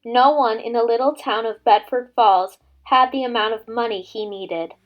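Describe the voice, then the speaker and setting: A woman reading a children's story aloud as a teacher would, with dramatic, expressive delivery. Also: on the high side, normal speed, dull audio. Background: background music.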